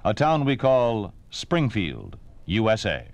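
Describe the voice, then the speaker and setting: A man's voice: on the low side, wildly swooping pitch, slow pace, dry recording. A man announcing a radio drama in a dramatic 1950s style.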